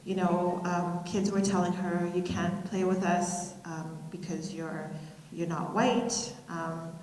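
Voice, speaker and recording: A middle-aged woman giving a keynote speech, telling personal stories in a measured, reflective way with pauses. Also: lowish voice, steady tone, darkish tone. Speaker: keynote speaker. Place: school auditorium, live audience. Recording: roomy hall reverb.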